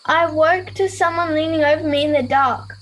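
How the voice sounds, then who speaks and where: A woman's voice: sits high, moderate pace, a bit bright. A girl of ten or eleven playing a frightened, remorseful boarding-school pupil in an amateur radio play, plaintive and earnest. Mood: upset, remorseful. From Australia. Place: at home over a video call. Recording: noisy home video-call recording.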